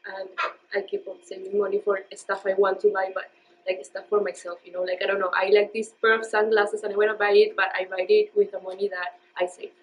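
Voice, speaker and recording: Fast-talking young woman student. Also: medium pitch, flat delivery, poor audio. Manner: rambling, many "like" fillers. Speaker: student interviewee. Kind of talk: casual interview answers.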